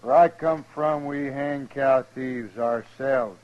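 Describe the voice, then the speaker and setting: An actor playing a hard, menacing cowhand in a 1950s radio western. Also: male voice, mid-range voice, takes it slowly, a little mellow, natural voice. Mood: surly, menacing. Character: menacing, lynch-minded cowhand, hard and surly. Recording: very noisy, dull old radio recording.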